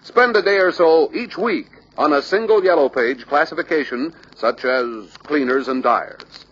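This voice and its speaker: A man reading scripted instructional narration for a sales training film, deliberate and emphatic. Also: on the high side, a bit bright, husky. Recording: dull lo-fi old training film soundtrack.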